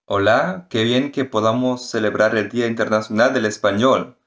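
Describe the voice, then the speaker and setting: A man's voice: fairly low pitch, normal speed, fairly bright tone. A man and university student speaking Spanish in a careful, articulate way. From Germany. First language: German.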